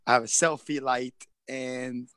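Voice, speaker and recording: A man's voice: mid-range voice, fairly even intonation, measured pace, sharp tone, no echo. Chill guy rambling from his garage on a headset, dry sound. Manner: laid-back, rambling. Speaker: podcast co-host. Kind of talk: casual live-stream chat. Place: edge of a garage, outdoors nearby. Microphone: headset on a phone.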